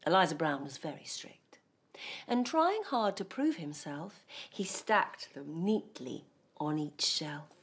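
A woman in her thirties reading a rhyming bedtime story aloud in a studio, soft and hushed, often dropping to a whisper. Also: low voice, sing-song, takes it slowly, breathy edge. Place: cosy studio set.

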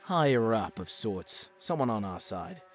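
A man's voice: lowish voice, big pitch swings, a little slow. A man voicing a chatty, slightly smug killer robot in a comic dub, with theatrical, scheming politeness. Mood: smug, explanatory. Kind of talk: fan comic dub acting. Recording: music behind the voice.

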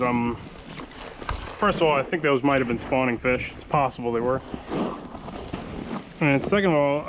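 A man in his thirties giving laid-back, chatty commentary while fishing from a kayak on open water, recorded on an action camera. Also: mid-range voice. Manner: laid-back, chatty, excited exclamations over catches. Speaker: fishing vlogger. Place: kayak on open water under a pier, outdoors. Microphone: action camera mounted on kayak. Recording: outdoor wind and water noise. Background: water and wind ambience.

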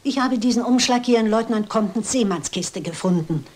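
Brisk, tart older lady sleuth played by an actress. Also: fairly high pitch, expressive, darkish tone, lightly acted. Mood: urgent, commanding. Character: shrewd older lady amateur sleuth, brisk and tartly ironic. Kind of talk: German detective film dialogue.

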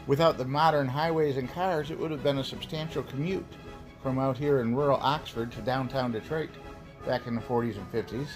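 An American man giving informal documentary narration in a folksy, reminiscing way. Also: medium pitch. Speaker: local history video narrator.

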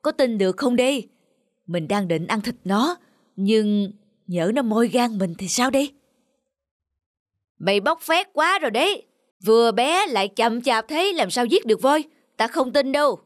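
A man's voice: very high voice, lively intonation, measured pace, pauses often, dry recording, clean studio sound. A man voicing a suspicious, gullible cartoon tiger. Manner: blustering, suspicious. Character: menacing, gullible big male tiger, easily enraged. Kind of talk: cartoon voice acting.

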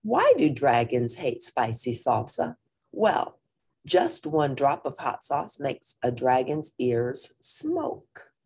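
Expressive, low-voiced woman reading to children. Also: husky. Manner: animated, deliberate, addressing young listeners. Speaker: storyteller reading a picture book aloud. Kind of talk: expressive children's read-aloud with brief asides.

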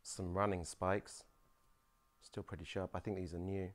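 Low-voiced man exploring an abandoned building. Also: level tone, normal speed. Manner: curious, explanatory, talking while walking. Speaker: urban explorer vlogger. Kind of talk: casual walking tour commentary. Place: abandoned dormitory building, indoors. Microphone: phone camera held at arm's length.

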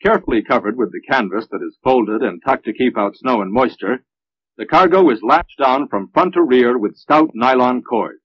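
An American man giving measured, instructional narration in the style of a 1950s military training film, on an old film soundtrack. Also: mid-range voice, animated, husky, no room sound, dull audio.

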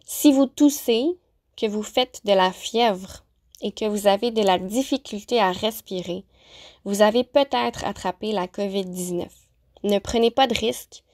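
A woman teacher narrating a lesson, pronouncing example phrases slowly and carefully. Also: mid-range voice, darkish tone. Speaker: French-as-a-second-language teacher. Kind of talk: clear, deliberate vocabulary lesson narration.

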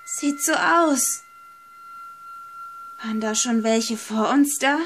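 A woman's voice: fairly high pitch, takes it slowly, a bit bright, a little hiss or hum. A woman playing a frightened, hesitant girl exploring a haunted villa in an amateur horror audio drama. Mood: curious. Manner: hesitant, anxious.

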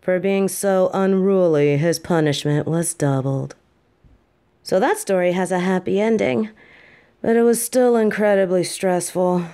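A woman's voice: lowish voice, measured pace, dark tone, clear, resonant tone. A woman playing a sweet weary demon girlfriend. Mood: affectionate. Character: sweet tired female demon girlfriend, affectionate. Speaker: voice actor in an audio roleplay. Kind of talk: intimate audio roleplay.

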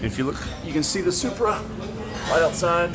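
A man in his thirties hosting a casual travel vlog, excitable and quick to laugh. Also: fairly high pitch, noisy background. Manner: upbeat, excitable, laughs often. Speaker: vlog host. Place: restaurant and street, indoors and outdoors.

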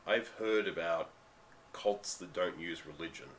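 Aussie man, 30s, low voice with a cold, emphatic webcam rant. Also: a little hiss or hum. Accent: Australian. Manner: ranting, emphatic, drawn-out stresses. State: getting over a cold. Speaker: vlogger. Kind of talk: commentary monologue. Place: dim room at home, indoors.

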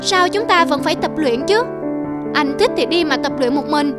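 A woman's voice: high voice, expressive, moderate pace. A woman voicing a lazy, cocky little antelope, childish and sulky, in a cartoon. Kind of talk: cartoon voice acting. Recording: loud music under the voice.

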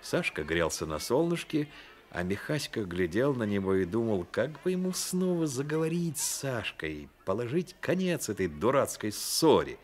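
A man narrating a radio play as a dramatic storyteller, measured and expressive. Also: mid-range voice.

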